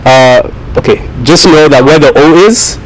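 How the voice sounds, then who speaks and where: A young man from Kenya who grew up in Kenya, talking to a high school class in a joking way, laughing often. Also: moderate pace, poor audio. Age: young adult. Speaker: student speaker at a school. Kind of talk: classroom talk.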